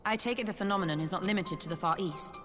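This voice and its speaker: An actress playing a composed, analytical scholar in a video game, speaking with dry, precise formality. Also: female voice, on the low side, fast talker, lightly acted. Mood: composed, inquiring. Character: composed, analytical female scholar, dryly confident. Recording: long reverb, music underneath.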